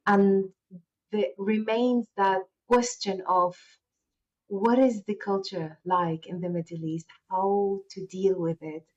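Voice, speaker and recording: A woman whose first language is Arabic talks in a polite, thoughtful, wandering way as an interview guest. Her voice sits at a middle pitch. The sound is dull and lo-fi. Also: steady tone, takes it slowly, a little mellow. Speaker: guest, agency founder. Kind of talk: interview conversation.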